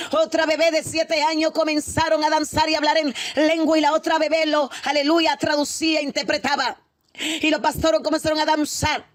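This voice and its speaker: A woman's voice: sits high, dry recording, studio quality. A woman preacher recounting a prophetic vision in a fervent, repetitive Pentecostal storytelling style, breaking in with exclamations of praise. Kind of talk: Pentecostal testimony sermon, storytelling with interjected hallelujahs.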